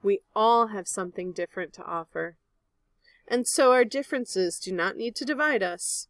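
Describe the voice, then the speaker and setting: A woman reading a picture book aloud like a teacher, in a clear, expressive manner meant for young children. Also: medium pitch, normal speed, no echo. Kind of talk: children's read-aloud narration.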